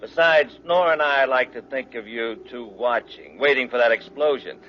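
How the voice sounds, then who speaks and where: A man's voice: medium pitch, normal speed, close to an everyday voice. A man playing a callous, smug henchman crook in a 1950s radio space serial. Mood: gloating. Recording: dull, hissy old radio recording.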